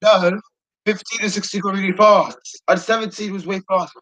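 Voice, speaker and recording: A tired sixteen-year-old boy rambling casually to his viewers, halting, repeating himself and trailing off mid-sentence. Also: high voice, fairly even intonation, a bit bright, rough recording. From United Kingdom. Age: 16, teenager. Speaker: video creator talking to subscribers.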